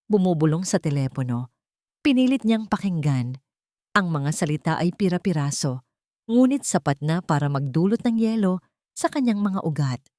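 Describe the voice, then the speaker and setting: A woman narrating a dramatic audio story in a steady, suspenseful storytelling manner. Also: lowish voice, no echo, clean studio sound.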